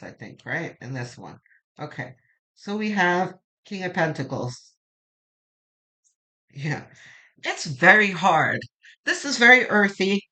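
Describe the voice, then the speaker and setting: A woman with a low voice that turns gravelly when quiet, slowly and reassuringly reading tarot cards and thinking aloud. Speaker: tarot reader.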